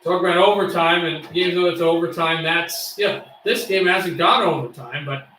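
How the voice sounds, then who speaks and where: A man doing amateur play-by-play of a hockey game, excitable and bursting into shouts on shots, his voice getting worn. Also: fairly high pitch, normal speed, heavy reverb, distant mic, slight background noise, poor audio. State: losing his voice. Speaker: play-by-play streamer.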